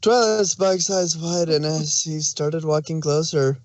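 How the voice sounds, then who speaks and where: Male narrator for a read-aloud fan story: fairly high voice, very little room sound. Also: fairly even intonation, unhurried, darkish tone, dry recording.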